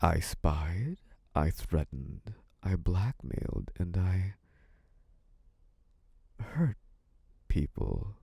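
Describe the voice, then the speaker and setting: An actor playing a possessive, scheming young mafia boss in a theatrical, condescending, menacing monologue. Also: male voice, deep voice, very animated, gravelly, slightly rough, no echo, exaggerated voice. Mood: cold, menacing. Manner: menacing, condescending, theatrically drawn-out delivery with dark chuckles. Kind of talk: theatrical scripted audio roleplay monologue.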